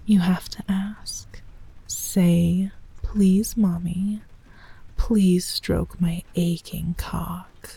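A woman voicing a doting, possessive motherly female dragon in an intimate audio roleplay, speaking in a soft breathy whisper. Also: fairly low pitch, steady tone, very slow, full character voice. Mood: tender whisper.

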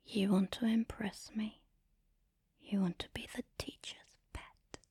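A woman speaking in an intimate, commanding and teasing erotic ASMR style, in a breathy whisper close to the mic. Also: medium pitch, little pitch movement, measured pace, darkish tone, no room sound. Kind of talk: erotic ASMR, guided audio roleplay.

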